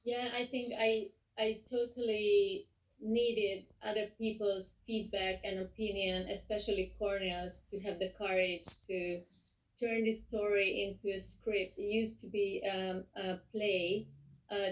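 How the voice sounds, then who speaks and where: A woman's voice: medium pitch, little pitch movement, measured pace, recorded from a distance. A woman, a screenwriter answering in a panel Q&A, hesitant with many restarts. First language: Hungarian. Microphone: video call. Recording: roomy video call audio from off-mic.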